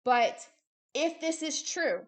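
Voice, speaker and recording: A woman's voice: high-pitched, expressive, slow. A woman hosting a religious video, reading aloud and preaching with an emphatic, insistent manner and short repeated phrases. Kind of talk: reading a book aloud with impassioned preaching commentary.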